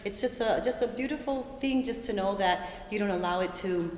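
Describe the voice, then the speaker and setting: A woman's voice: mid-range voice, brisk, a little mellow. A woman co-hosting a talk show, speaking with enthusiastic, heartfelt admiration for her guest. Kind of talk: casual interview talk. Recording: echoey room with long reverb, faint noise.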